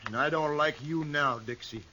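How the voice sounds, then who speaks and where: An actor playing a weary, plain-spoken rancher in a 1950s radio drama, quiet and hurt. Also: male voice, on the high side, moderate pace, slight background noise.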